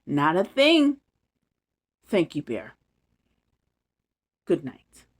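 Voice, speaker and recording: A woman's voice: lowish voice, huge pitch swings, very slow, breathy edge, full character voice. Woman reading as a small fussy mouse. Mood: eager. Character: small nervous male mouse, eager and fussy.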